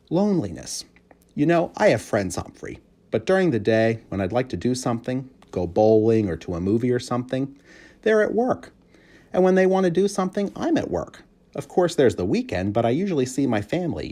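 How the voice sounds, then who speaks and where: A booming, exuberant storybook janitor, performed by a male reader, chummy and folksy. His pitch rides high and swings widely from phrase to phrase. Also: very animated, moderate pace, mellow. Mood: friendly, chatty. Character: loud, jolly, chummy night janitor, adult man. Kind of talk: children's storybook character voice.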